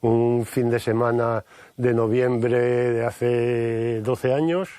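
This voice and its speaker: A man in his sixties talks in a relaxed, easygoing way, often repeating a word before he goes on. He is outdoors on a hillside, and the recording is dry and close. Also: medium pitch, takes it slowly, dry recording. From Spain. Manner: relaxed, repeats words while thinking. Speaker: retired engineer and sculptor. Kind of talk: casual chat.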